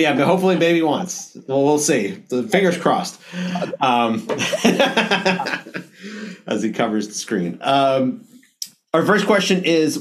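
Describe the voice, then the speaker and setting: A man hosting a casual interview, often breaking off and restarting his sentences. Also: a little high. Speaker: podcast host.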